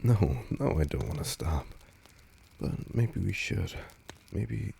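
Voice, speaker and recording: Casting: male actor for a nervous, soft-spoken vampire lover in audio roleplay; very low register, slow, halting delivery. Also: lively intonation, gravelly, a little hiss or hum, full character voice. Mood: affectionate, tempted. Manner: hesitant, stammering, soft-spoken. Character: nervous, tender male vampire on a stargazing date, resisting hunger. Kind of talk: intimate audio roleplay addressed to the listener.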